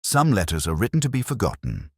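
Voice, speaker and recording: A man voicing a quiet, guarded, faintly sad widow in an audiobook, restrained and composed. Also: on the low side, big pitch swings, quick pace, breathy edge, no room sound, clean studio sound, voice pushed up. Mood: guarded, sombre. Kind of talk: audiobook character dialogue.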